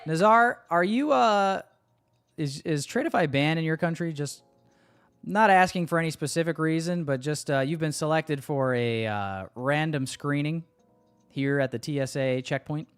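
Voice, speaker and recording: A man's voice: fairly high pitch, normal speed, clean tone, soft background music. A man hosting a livestream, chatting casually with his viewers in a slangy, joking way and swearing freely. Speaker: livestream host.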